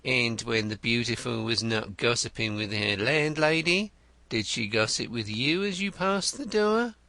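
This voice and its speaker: A man's voice: mid-range voice, measured pace, bright, forceful tone, no echo, voice pushed up. A man voicing a shrewd, wry male detective in an audiobook, with dry, teasing questions.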